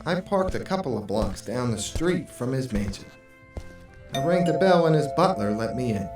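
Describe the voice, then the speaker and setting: A man playing a hardboiled private investigator in a noir audio drama, terse and deadpan, with music behind him. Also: medium pitch, normal speed, close to an everyday voice. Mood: businesslike. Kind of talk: noir radio drama with first-person narration.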